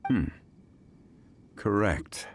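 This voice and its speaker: A man voicing a calm, analytical gentleman scholar in a video game, speaking in a measured, formal way. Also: noisy background.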